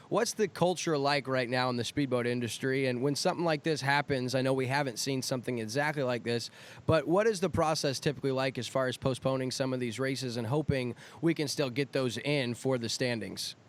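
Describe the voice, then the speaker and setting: A man's voice: medium pitch, fairly quick. A man hosting an interview in an upbeat, friendly and encouraging way, with polished delivery. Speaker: show host.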